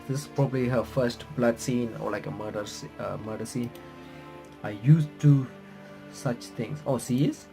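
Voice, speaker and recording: A man reading visual novel text aloud with bits of his own commentary, halting and correcting himself as he goes. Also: mid-range voice, a little mellow. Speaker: gaming reaction streamer. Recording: music playing behind the voice. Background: game music.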